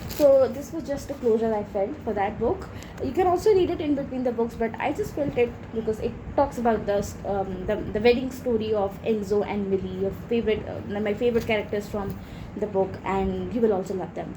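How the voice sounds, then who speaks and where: A woman chatting casually and enthusiastically to viewers as a book review vlogger, gushing as she goes. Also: on the high side. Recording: clear background noise.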